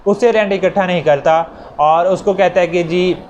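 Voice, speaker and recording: Higher-voiced Pakistani man lecturing over noise. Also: fairly even intonation, normal speed, a little mellow, muffled recording. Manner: didactic, repeats and rephrases points for students. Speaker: law lecturer. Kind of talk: explanatory lecture with worked examples. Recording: noticeable background noise.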